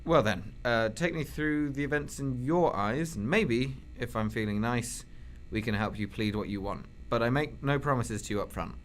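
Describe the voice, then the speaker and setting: A weary, sardonic detective, played by a man presses a suspect with blunt questions in a mid-pitched voice. The student radio play has faint noise behind him. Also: moderate pace, few pauses, lightly acted. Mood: pressing. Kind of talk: amateur radio drama acting.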